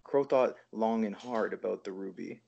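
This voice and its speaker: Man, poetry reading, lots of vocal fry, dull lo-fi call audio. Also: fairly low pitch, normal speed, a little mellow. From Toronto, Canada. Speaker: poet reading own work. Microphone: video call.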